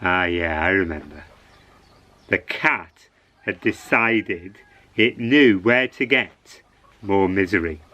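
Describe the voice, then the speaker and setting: A man telling a story to children in an expressive, encouraging way, repeating phrases for dramatic suspense. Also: low-pitched, slow.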